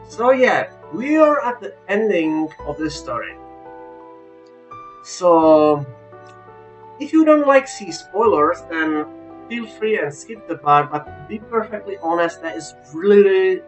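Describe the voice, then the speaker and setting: A man with a non-native English accent giving an informal, unscripted game review, halting but emphatic about his opinions. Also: high-pitched. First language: not English.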